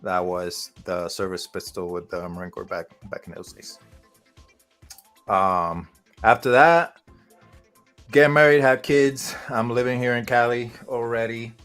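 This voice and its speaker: A man's voice: medium pitch, fairly even intonation, a little slow, a bit bright, soft background music. A man in his forties, from Puerto Rico, telling a casual story as a podcast guest, rambling with fillers and restarts.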